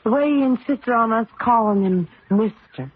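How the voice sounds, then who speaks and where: A woman's voice: fairly high pitch, normal speed, slightly rough. An actress playing a confident young wife and mother who races cars, in a radio drama with some first-person narration. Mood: easygoing. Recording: noisy, tinny old radio broadcast.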